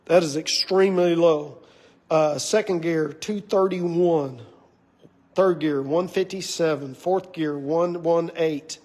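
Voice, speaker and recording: A hoarse man running a car shop, talking casually and rambling with lots of fillers as he shows off parts of a car build in his workshop. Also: on the high side, steady tone, slow pace, darkish tone. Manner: rambling, filler-heavy, enthusiastic about parts. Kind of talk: casual shop walkaround talk.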